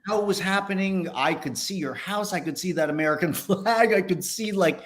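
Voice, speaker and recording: A man's voice: high voice, brisk, fairly bright tone. A man interviewing a guest in casual conversation, speaking in an enthusiastic, complimentary manner.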